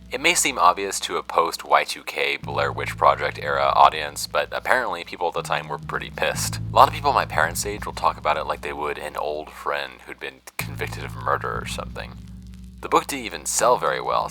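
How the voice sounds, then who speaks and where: A man's voice: a bass voice, vocal fry, sharp tone, full character voice, rough recording. An American actor playing a wry young man who hosts a podcast about old case files, sardonic and conversational, in an audio drama. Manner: sardonic, self-deprecating, conversational. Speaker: podcast host character. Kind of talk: audio fiction podcast monologue.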